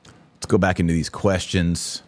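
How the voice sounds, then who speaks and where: Deep-voiced man, emphatic podcast host. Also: little pitch movement, a little slow. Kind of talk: opinionated live commentary, reading and answering listener messages.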